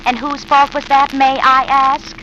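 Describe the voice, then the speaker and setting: An actress playing a sharp, spiteful young wife in a 1940s radio drama, sarcastic, scornful and needling. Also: female voice, high voice, level tone, a little slow, highs cut off. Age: young adult. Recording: noisy old radio recording.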